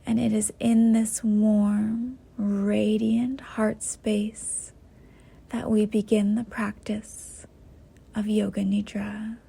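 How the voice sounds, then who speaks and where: A woman guiding a yoga nidra meditation in a soft, breathy, half-whispered voice, gentle and unhurried with long pauses. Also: mid-range voice, flat delivery. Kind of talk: guided meditation, slow soothing instruction.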